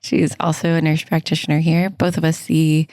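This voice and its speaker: A woman nurse practitioner hosting a casual health podcast, a little hoarse as she loses her voice, chatty and laughing often. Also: deep voice, level tone, brisk, darkish tone, dry recording, clean studio sound. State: losing her voice.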